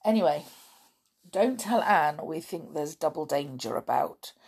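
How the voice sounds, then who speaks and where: A woman reading a storybook and voicing a steady, sensible boy who leads his friends, in a calm and reasoning manner. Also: low-pitched, unhurried, vocal fry, breathy edge, exaggerated voice.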